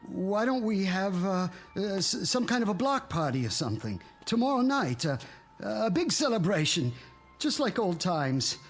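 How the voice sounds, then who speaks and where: Anxious, eager neighbor, radio drama. High, halting, very animated. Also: male voice, soft background music, exaggerated voice. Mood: nervous eagerness. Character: nervous, overeager suburban neighbor, anxious to smooth things over.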